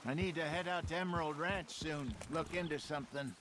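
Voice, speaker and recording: An actor playing an older outlaw hunter in a Western video game, proud and wry, with a folksy way of talking. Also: male voice, fairly high pitch, steady tone, fairly quick, close to an everyday voice. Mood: casual, warm. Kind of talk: video game dramatic acting. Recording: clear noise of outdoor game ambience.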